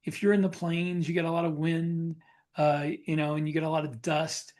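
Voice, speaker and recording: A man chatting casually as a podcast co-host, thinking aloud in a halting way with repeated phrases. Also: fairly high pitch, little pitch movement, a little mellow. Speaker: podcast co-host and science fiction author.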